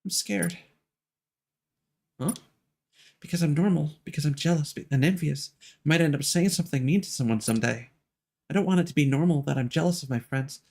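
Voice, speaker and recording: A man's voice: fairly high pitch, brisk, creaky, long pauses, darkish tone. Man voicing an anxious, self-doubting teenage girl. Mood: scared, ashamed. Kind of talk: reading video game dialogue aloud in character.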